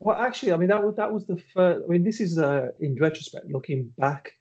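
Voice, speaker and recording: A man's voice: high-pitched, animated, fast talker, a little mellow, slightly rough, dull audio. A middle-aged man from Birmingham with a Birmingham accent, a podcast guest who talks hesitantly with many fillers and restarts and laughs at himself. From Birmingham, England. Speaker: interview guest. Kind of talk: podcast interview.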